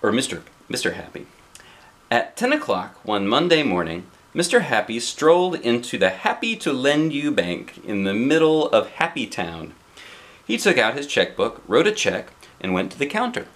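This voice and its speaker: Animated man reading a children's storybook. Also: mid-range voice, moderate pace. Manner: playful, expressive, chatting with a child. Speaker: dad reading a picture book aloud.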